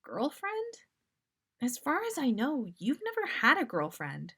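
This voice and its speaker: A woman's voice: sits high, wildly swooping pitch, a little slow, sharp tone, pitched above the performer's own voice, full character voice. A woman voicing a concerned, bewildered teenage girl with a questioning manner in a story reading.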